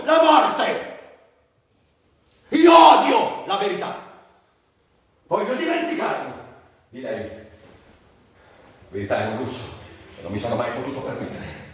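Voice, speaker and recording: A man's voice: high-pitched, fairly bright tone. Tormented sick junkie guy, bitter stage monologue, super echoey, off mic, bit of noise. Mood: raging. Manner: anguished, sardonic, swinging from murmurs to outbursts. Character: bitter, tormented, ailing male drug addict with muscular dystrophy. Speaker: stage actor. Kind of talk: theatrical dramatic monologue. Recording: very echoey, distant, faintly noisy stage recording.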